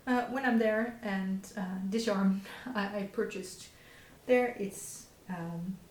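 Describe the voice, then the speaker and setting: A woman's voice: medium pitch, takes it slowly, a little mellow. A woman in her thirties with a Dutch accent, hosting a knitting podcast in a hesitant, casual chat full of fillers and little laughs. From Netherlands. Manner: hesitant, many fillers and restarts, frequent small laughs. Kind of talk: casual show-and-tell chat. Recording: echoey room with faint background hiss.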